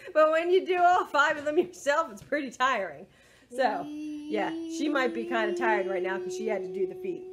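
A woman's voice: high voice, expressive, moderate pace, a crowd in the background. A middle-aged woman leading a children's storytime in a playful, sing-song way, recorded indoors on a device a little distance away. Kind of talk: children's storytime read-aloud and action songs.

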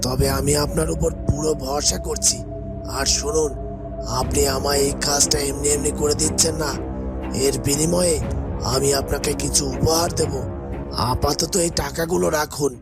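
A man voicing a calculating, bossy schemer in a cartoon, commanding and deliberate, with loud music behind. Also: fairly high pitch, level tone, close to an everyday voice. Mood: cool, instructing. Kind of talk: cartoon dubbing.

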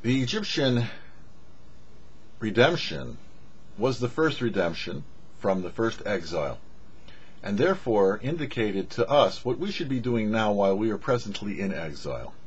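A middle-aged man giving a scripted religious teaching to camera as a rabbi, deliberate and preacherly with emphatic pauses. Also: lowish voice, very animated, breathy edge. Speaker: rabbi, show host. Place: small indoor studio with cloth backdrop. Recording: dull, muffled lo-fi audio.

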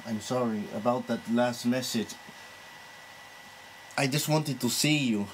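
Creepy, pleading lecturer, played by a man, halting prank-call delivery, slightly noisy amateur recording. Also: mid-range voice, natural voice. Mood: pleading, smitten. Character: lovesick creepy Italian male university lecturer.

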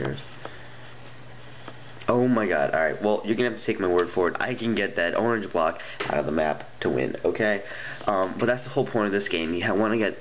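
A man's voice: low voice, highs cut off, slight background noise. A young man with a stuffy nose chatting casually and rambling as he reviews phone games at a table, with plenty of ums and restarts. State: stuffy nose, getting sick. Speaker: app reviewer. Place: indoors at a wooden table.

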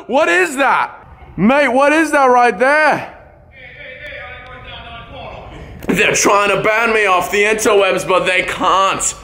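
A man playing a brash, bumbling male wildlife poacher in an improvised comedy skit, excitable and tacking "mate" onto everything. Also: high voice, a little slow, full character voice. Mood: excited. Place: school building, indoors. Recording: echoey halls with crowd chatter. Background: students chattering.

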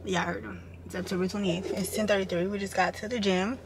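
Woman in her twenties, casual vlog chat, informal and chatty, medium pitch, noisy camera audio. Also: fairly even intonation, fast talker, creaky. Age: 20s. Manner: informal, chatty, addresses viewers as y'all. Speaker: vlogger. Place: parked car and a room at home, indoors. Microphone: camera at arm's length or propped close.

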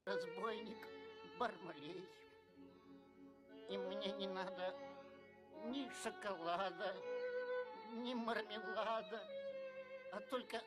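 An actor performs a villainous cartoon brigand, a male robber singing slowly and menacingly. It is an old cartoon clip with loud music. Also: medium pitch, steady tone, natural voice.

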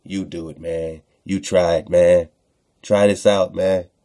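Male radio host, very deep pitch, dark relaxed tone, slangy, freewheeling commentary, repetitive emphatic phrasing. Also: takes it slowly. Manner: repeats phrases for emphasis, slangy direct address to listeners. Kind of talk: casual freewheeling commentary on texts read aloud.

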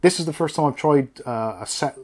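A man's voice: a little high, fairly quick. A man in his forties with an English accent, giving a casual, matter-of-fact product review as a channel host. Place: small home studio room.

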